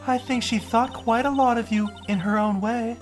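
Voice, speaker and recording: A man voicing a poised, kindly woman who is a chief lawyer and mentor, measured and gently teasing, in a fan-dubbed game. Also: extremely high pitch, fairly even intonation, music in the background. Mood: earnest, encouraging. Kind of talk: fan-dub video game dialogue.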